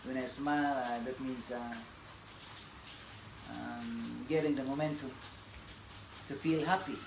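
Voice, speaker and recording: A Filipino man in his forties, a tennis coach whose first language is Bisaya, introducing himself in a halting, casual way with many fillers and laughs. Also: mid-range voice, fairly even intonation, creaky. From Philippines. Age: 40s. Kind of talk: casual self-introduction to camera. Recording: echoey room, audible background noise.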